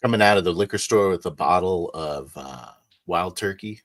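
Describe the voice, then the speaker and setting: A man playing a tabletop roleplaying game, casually and haltingly describing his character's actions. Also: sits low, no echo, clean studio sound.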